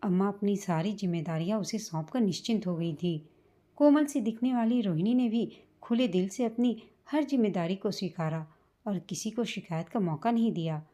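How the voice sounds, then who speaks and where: A woman reading a scripted, sentimental family story as a storyteller, in a measured manner. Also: medium pitch, warm tone. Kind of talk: scripted emotional story narration in Hindi.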